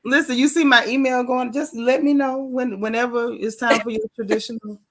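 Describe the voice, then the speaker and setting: A woman hosting a casual live-stream chat and giving motherly advice, slowing down to stress her points. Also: a little high, fairly even intonation, fairly bright tone, low-quality recording. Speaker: host.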